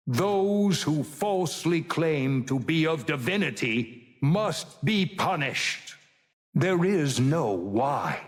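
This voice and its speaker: An ancient, wise old male mentor from a video game. He is solemn and cryptic, measuring out each phrase slowly in a mid-pitched voice that rises and falls widely. A long hall reverb trails behind his grave delivery. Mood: stern, commanding. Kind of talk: video game hero voice lines.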